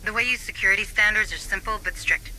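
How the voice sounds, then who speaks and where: A woman's voice: mid-range voice, steady tone, fast talker, pushed and bright, slight background noise, low-quality recording. A woman playing an analytical female company administrator in a sci-fi video game, speaking in a matter-of-fact, precise way. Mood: focused, explanatory. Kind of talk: scripted video game dialogue. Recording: faint background ambience.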